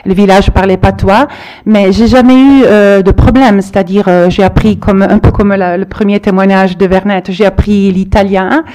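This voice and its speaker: A middle-aged woman from the Aosta Valley, a primary school teacher, giving a reflective, halting testimony to a panel. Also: medium pitch, steady tone, mellow. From Aosta Valley, Italy. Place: public hall with audience.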